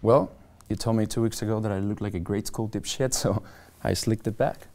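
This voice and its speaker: A man's voice: lowish voice, steady tone, fairly quick, darkish tone, natural voice. An actor playing an insecure, self-deprecating middle-aged man at a table read of a screenplay. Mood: eager. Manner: earnest, hesitant, self-mocking.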